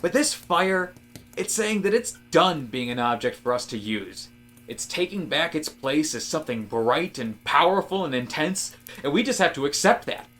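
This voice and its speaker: A man acting an eager young-at-heart office guy in a comedic audio drama. His voice is bright and he rambles casually, full of earnest conviction about his odd theories. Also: a little high, sing-song, moderate pace, faint music behind. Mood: fervent. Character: Rob, eager, casual male office worker with intense theories.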